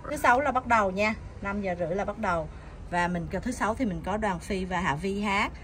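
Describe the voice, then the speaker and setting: A woman's voice: mid-range voice, brisk, a bit bright. A middle-aged woman chatting enthusiastically as she promotes a community event in a casual vlog. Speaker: vlogger announcing a community event. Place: outdoors at a building's glass entrance. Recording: noisy outdoor camera audio.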